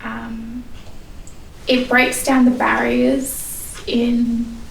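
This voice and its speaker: Gravelly woman in a roomy space. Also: a little high, flat delivery, very slow, slight background noise. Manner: thoughtful, explanatory. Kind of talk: group discussion. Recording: roomy, distant recording.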